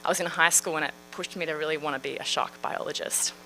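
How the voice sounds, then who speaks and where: An Australian woman in her thirties gives a public science talk. Her voice is bright and forceful. She speaks in a friendly, chatty way and often fills pauses with "um" and "uh". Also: low voice, steady tone, a little hiss or hum, low-quality recording. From Western Australia. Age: 30s. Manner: friendly, chatty, with frequent fillers. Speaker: marine scientist.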